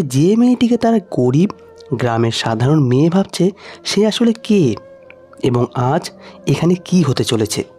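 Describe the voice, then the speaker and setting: Dramatic male storyteller, lively and bright. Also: a little high, slightly rough. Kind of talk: dramatic scripted story narration.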